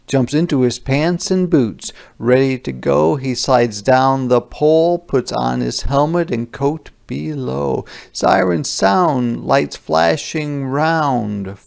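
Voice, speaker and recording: A man with a creaky voice reading a children's picture book aloud and pausing to explain words simply. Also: medium pitch, a little mellow.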